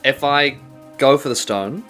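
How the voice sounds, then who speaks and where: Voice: mid-range voice, measured pace, fairly bright tone, faint music behind. A player with a New Zealand accent in a tabletop game, eagerly asking about rules and laughing often.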